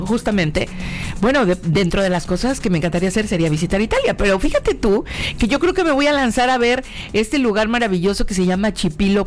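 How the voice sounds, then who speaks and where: A woman's voice: mid-range voice, a little mellow, a little hiss or hum. A woman with a Mexican accent hosting a radio show, talking informally and directly to the listener with rhetorical questions. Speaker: radio host. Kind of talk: chatty informal radio monologue addressed to the listener.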